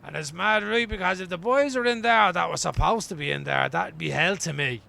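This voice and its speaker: An actor in a radio play playing a weary, wry homeless man from Dublin, rambling colloquially in a gravelly voice. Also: high-pitched, a little fast, bright, forceful tone, exaggerated voice. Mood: wry, candid. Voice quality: gravelly, creaky. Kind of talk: radio play acting as an interviewee.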